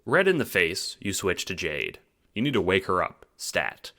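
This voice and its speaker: A man's voice: low voice, big pitch swings, moderate pace, bright, forceful tone. A man delivering scripted audiobook narration in a wry, theatrical, playful way.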